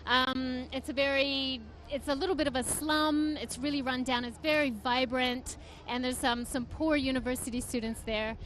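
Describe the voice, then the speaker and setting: A woman from the United States answers interview questions about her work, eager and a little halting. Her voice sits high in pitch, and her talk is full of small fillers and restarts. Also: slight background noise, poor audio. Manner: enthusiastic, hesitant with fillers. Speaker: guest author. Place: book fair venue.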